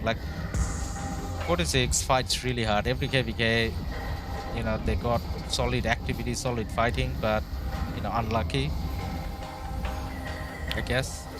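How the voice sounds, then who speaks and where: A man hosting a live game stream, chatting casually, hedging his views and answering his viewers. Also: medium pitch. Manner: relaxed, hedging, reads chat aloud. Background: background music.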